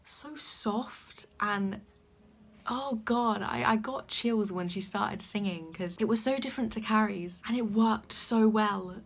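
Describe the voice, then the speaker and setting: A young woman with a soft voice, gushing enthusiastically about a show she loved in a casual vlog. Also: medium pitch, takes it slowly. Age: young adult. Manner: gushing, enthusiastic fan talk. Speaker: vlogger. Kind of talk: casual vlog chat to camera. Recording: faint background music.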